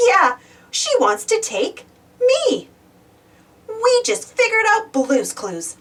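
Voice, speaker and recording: Casting: cheerful young kids' show host, acted out by a woman, high-pitched and highly animated, sing-song storybook delivery, unhurried. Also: distant mic, heavily acted. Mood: puzzling, then excited. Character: Joe, cheerful young man hosting a preschool show. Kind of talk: children's storybook read-aloud.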